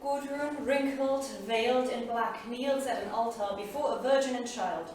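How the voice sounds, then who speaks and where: An actress playing a sorrowful, proud saga heroine and storyteller in a staged reading, solemn, measured and poetic. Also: female voice, fairly high pitch, a little mellow, natural voice. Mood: solemn, hushed. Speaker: actor. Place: small theatre studio. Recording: distant, echoey theatre recording, faint noise.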